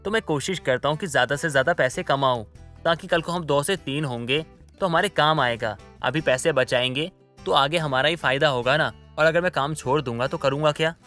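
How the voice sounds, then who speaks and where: Man acting a bright-voiced coaxing young husband. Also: fairly high pitch, fairly quick, faint music behind. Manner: coaxing, placating. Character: smooth-talking, two-timing young husband full of excuses. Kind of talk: Hindi moral-story audio drama acting.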